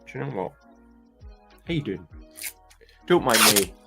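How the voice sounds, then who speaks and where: A man's voice: mid-range voice, big pitch swings, unhurried, vocal fry, over loud music. A man with a light Scottish accent chatting casually to his viewers as a vlogger, rambling and hesitant with many restarts. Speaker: toy and claw machine vlogger.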